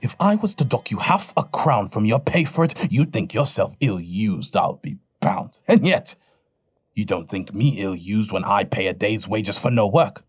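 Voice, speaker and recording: Cranky old miser for an audio play, scornful n curt, lowish voice that jumps all over. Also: male voice, very animated, normal speed, slightly rough. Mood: grudging, scornful. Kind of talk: audio drama acting.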